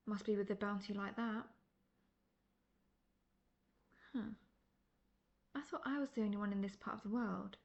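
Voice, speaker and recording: A woman playing a seasoned, world-weary female monster hunter in a fantasy audio roleplay, speaking in a measured, formal, dryly condescending way. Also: mid-range voice, creaky, darkish tone, lightly acted. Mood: curious, appraising.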